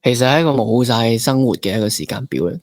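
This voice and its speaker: A young man with a mid-pitched voice and a Hong Kong Cantonese accent. He hosts conversationally, putting questions to a guest in a close, dry recording. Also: lively intonation, fast, no room sound. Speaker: podcast interviewer. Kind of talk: conversational interviewing.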